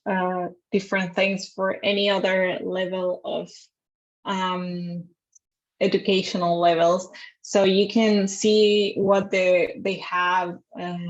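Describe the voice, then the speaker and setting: A young woman from Argentina, a native Spanish speaker with an Argentine accent, talking haltingly on a video call as a guest researcher. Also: medium pitch. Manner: hesitant, searching for words. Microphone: laptop mic on video call. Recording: slightly dull video call audio.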